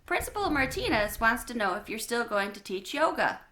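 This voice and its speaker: A woman's voice: a little high, big pitch swings, moderate pace, pushed and bright. A woman reading aloud, voicing an easygoing, athletic schoolboy in a casual way.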